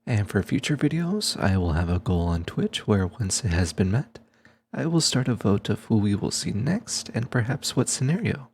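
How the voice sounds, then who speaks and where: A man's voice: fairly low pitch, sing-song, normal speed, a little mellow, a little hiss or hum. A man who makes ASMR videos, giving a scripted announcement to his viewers. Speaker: ASMR voice actor and streamer.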